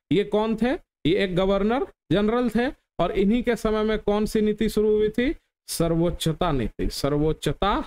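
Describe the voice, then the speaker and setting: A man's voice: high-pitched, a little mellow. A man teaching an exam question lesson, instructive, repeating key points and asking rhetorical questions of his students.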